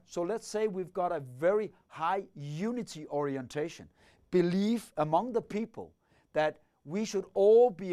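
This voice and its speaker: High-voiced man explaining a leadership framework. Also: a little mellow. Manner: methodical, poses questions to himself. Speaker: leadership researcher and lecturer. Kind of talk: explanatory lecture.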